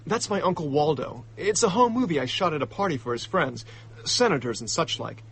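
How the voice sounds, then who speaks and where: A young male diplomat in a radio drama, indignant and hot-headed. His voice sits fairly high with a lively swing and a touch of husk. He talks in excitable slang. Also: fairly quick, slightly rough, exaggerated voice, rough recording. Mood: agitated recounting.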